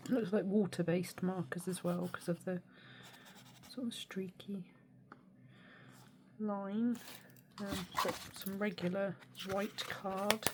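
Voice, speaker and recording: A woman with a hoarse, snuffly cold, chatting casually and quietly as she unboxes art supplies, with many hesitations. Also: mid-range voice, takes it slowly, long pauses. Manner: soft-spoken, often drops to a near whisper; mildly critical, hesitant with many ums. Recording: steady background noise.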